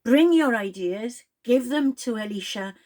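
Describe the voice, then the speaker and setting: A middle-aged woman from Wales with a Welsh accent, a native Welsh speaker, speaking to camera in English as a project leader in a slow, deliberate and clearly enunciated way. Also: fairly high pitch, animated, a little mellow. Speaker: project leader addressing participants. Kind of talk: direct-to-camera address.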